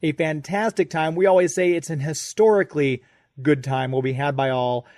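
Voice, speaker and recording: An American man hosts a radio show in a chatty, informal style. His voice sits a little high, and the sound is dry and close, with no room to it. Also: fairly even intonation, normal speed, darkish tone, no echo. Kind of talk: conversational ad read and interview.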